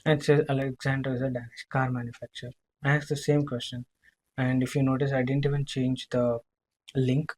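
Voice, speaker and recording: A man demonstrating software in a live screen-share walkthrough, speaking haltingly, repeating himself and often checking in with a short tag question. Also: mid-range voice, little pitch movement. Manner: halting, repetitive, checking in with "right?".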